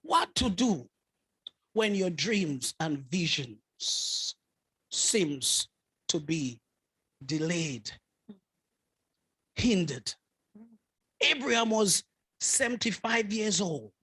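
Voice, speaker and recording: A man's voice: high voice, big pitch swings, drawn-out words, pauses often, bright, forceful tone, breathy edge. A man preaching a sermon as a bishop, driving his points with repeated phrases and rising fervour.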